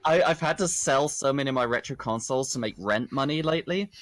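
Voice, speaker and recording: A man's voice: mid-range voice, fast talker, a bit bright, no echo. A man chatting casually in an emphatic, sweary way. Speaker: stream guest. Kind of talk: casual chat. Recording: voice chat with music behind. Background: music.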